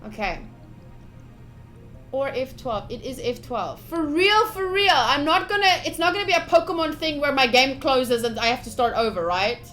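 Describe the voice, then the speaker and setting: A woman with a fairly high voice streams a visual novel. She reads the text aloud expressively and chats casually, with an excitable, playfully dramatic way of talking. Game music plays behind her. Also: moderate pace. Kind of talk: livestream playthrough, reading game text aloud with casual commentary.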